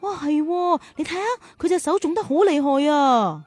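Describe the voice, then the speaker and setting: Coaxing big-sister teacher, high dry voice. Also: female voice, expressive, moderate pace, no echo. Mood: coaxing, playful. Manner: coaxing, gently chiding. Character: caring, gently scolding big-sister teacher. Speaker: host and teacher. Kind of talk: children's radio drama acting and narration.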